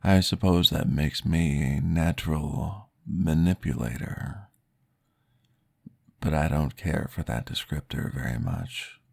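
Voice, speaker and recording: A man voicing a courteous male vampire guiding a therapy session in a formal, polite, soft-spoken ASMR roleplay, recorded close to the mic. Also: extremely low pitch, takes it slowly, creaky, slightly rough, no echo, full character voice. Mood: calm, courteous.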